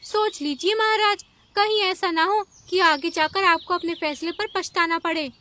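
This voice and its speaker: A woman's voice: very high voice, fairly even intonation, normal speed, sharp tone. A woman voicing a small, innocent young animal in a children's cartoon, with an earnest, childlike, pleading manner. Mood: insistent. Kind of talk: Hindi children's cartoon voice acting.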